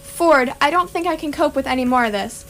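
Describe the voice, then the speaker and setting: A woman's voice: high voice, fairly quick, a bit bright. A woman playing a bewildered, drily sarcastic human space traveler in an amateur radio comedy. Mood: bewildered, wry. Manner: plaintive, dry, polite incredulity. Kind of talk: amateur radio play acting. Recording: clear background noise.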